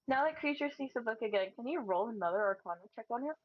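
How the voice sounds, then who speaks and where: A woman's voice: a little high, expressive, quick pace. A woman playing a tabletop role-playing game, talking casually over a lo-fi microphone.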